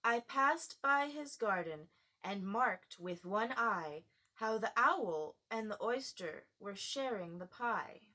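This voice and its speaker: A woman's voice: mid-range voice, lively intonation, slow, sharp tone, full character voice. A woman voicing a timid, polite young girl in a storybook, earnest and easily puzzled. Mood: nervous reciting.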